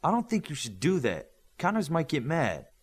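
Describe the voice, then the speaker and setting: A man's voice: a little high, wildly swooping pitch, normal speed, husky, voice pushed up, full character voice. Man voicing a mild, cautious young boy hero. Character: Midas, mild cautious peacemaking boy with powers.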